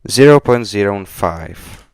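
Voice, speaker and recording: Very slow, low-voiced Czech man. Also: expressive, a little mellow, clean tone, dry recording. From Czech Republic. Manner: halting, hesitant non-fluent English. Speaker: game developer live streamer. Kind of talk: casual live coding stream chat. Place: indoors at a computer, keyboard clicks behind.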